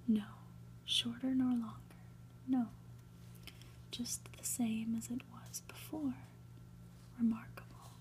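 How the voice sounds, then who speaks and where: A woman reading a story aloud as ASMR in a soft, breathy whisper, with a hushed, gentle, deliberate delivery. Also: a little high, a little hiss or hum, poor audio. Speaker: host reading a graphic novel aloud.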